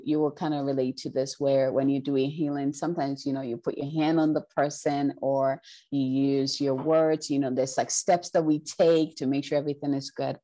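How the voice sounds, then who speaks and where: A woman's voice: deep voice, mellow. A woman from Ghana, a spiritual healer, talking in an earnest, casual way as an interview guest, with many "you know" and "right" fillers.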